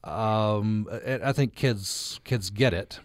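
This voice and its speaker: A man hosting a conversational radio interview, speaking haltingly and repeating words as he forms his thoughts. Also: fairly low pitch, dry recording, clean studio sound. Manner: halting, repeats words while forming questions.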